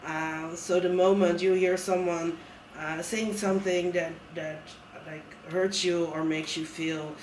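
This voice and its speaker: An earnest man with a high voice talks reflectively, stumbling over restarts as he thinks. He sounds far from the mic in an echoing room, with a faint hiss underneath. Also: little pitch movement, measured pace. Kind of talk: casual conversation. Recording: roomy sound from a distant mic.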